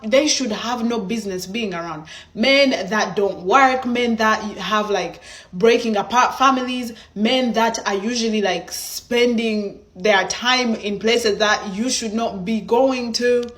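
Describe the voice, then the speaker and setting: Frank Ugandan woman telling stories. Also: a little high. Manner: frank, lightly mocking. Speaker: content creator in a played clip. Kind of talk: storytelling commentary.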